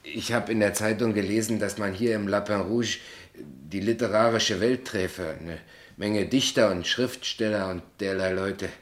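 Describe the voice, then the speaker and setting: Radio play actor as naive small-town poet: man, lowish voice, earnest, halting, stubbornly proud. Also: close to an everyday voice. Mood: naive, admiring. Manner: earnest, hesitant, stubborn when challenged.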